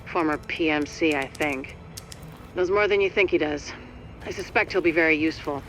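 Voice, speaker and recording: A blunt, profane male field agent briefs the team in a game cutscene. His voice sits a little high for a man, and he talks matter-of-factly, with music playing underneath. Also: moderate pace, bright, forceful tone. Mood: blunt, urgent. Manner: plain-spoken, matter-of-fact, profane. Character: gruff, blunt field agent rallying the base. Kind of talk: video game cutscene voice acting.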